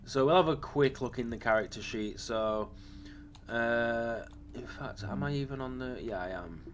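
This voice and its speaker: A man hosting a gaming channel, casually talking through his game build. His voice is slightly raspy from a lingering cough, and he rambles, with frequent ums and self-corrections. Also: mid-range voice, moderate pace, a little hiss or hum.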